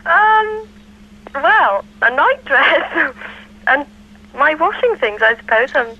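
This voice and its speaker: A woman's voice: high-pitched, very animated, drawn-out words. A woman in a casual phone conversation, hesitant and giggly, on a dull, noisy old telephone line. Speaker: phone caller, prank victim.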